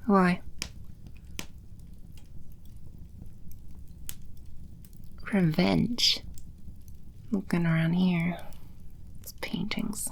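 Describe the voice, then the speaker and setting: A woman playing a haughty, scornful female demon in a scripted audio roleplay, condescending and sardonic, with breathy edges to her voice. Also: lowish voice, fairly even intonation, slow pace, exaggerated voice. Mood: dry, disdainful.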